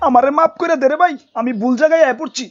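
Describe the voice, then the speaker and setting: Man voicing a shrill blustering cartoon virus villain. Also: rapid-fire, muffled recording, faint music behind. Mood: exasperated. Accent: Bangladeshi. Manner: boastful, slangy, threatening. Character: blustering coronavirus villain, male, cocky then exasperated. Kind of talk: comic cartoon dubbing.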